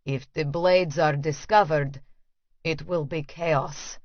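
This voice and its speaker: Intense, dangerous Russian man, played by a female narrator: deepened, slow, grave, audiobook dialogue, dry slightly muffled sound. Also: fairly even intonation, no echo. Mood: grave, guarded.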